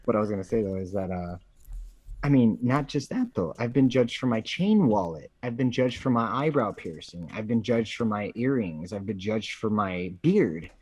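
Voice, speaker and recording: A man with a husky voice chats casually as a co-host, slangy and profane, repeating phrases for emphasis. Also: lowish voice, expressive, normal speed, darkish tone, dry recording. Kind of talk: casual opinionated chat.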